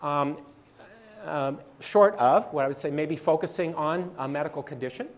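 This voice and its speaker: Halting man presenting slides. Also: a little high. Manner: halting, many fillers and restarts. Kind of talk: presenting slides at a livestreamed training session.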